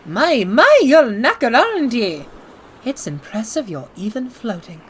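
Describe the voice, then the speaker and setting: A woman voicing a brash, excitable female ocean liner with an Irish accent. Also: a little high, unhurried, breathy edge, full character voice. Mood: teasing.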